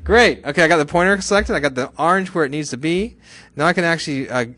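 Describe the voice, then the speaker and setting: A man giving a live software tutorial to a class, chatty, often asking questions and answering them himself. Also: fairly high pitch, quick pace, no echo. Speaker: software instructor.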